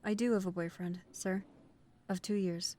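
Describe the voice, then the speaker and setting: A woman's voice: mid-range voice, fairly even intonation, normal speed, mellow, natural voice. A woman playing a nervous, deferential mafia recruit who speaks politely and formally, with hesitation, in an audio roleplay. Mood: resolute, formal.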